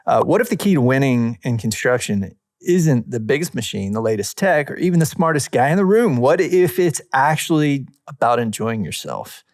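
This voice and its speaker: A man with a medium-pitched voice co-hosts a casual podcast. He chats informally and reads aloud in measured phrases, leaning on key words. The recording is dry and free of room sound. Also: big pitch swings, no echo. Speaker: podcast co-host. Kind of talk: casual podcast chat, reading listener messages aloud with short comments.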